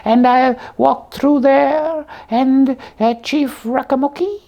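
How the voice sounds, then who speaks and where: A woman's voice: high-pitched, steady tone, slow pace, dull audio, heavily acted. A woman voicing a hungry young talking slipper who plays detective, telling the tale with pompous earnestness in a comic puppet show. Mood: boastful.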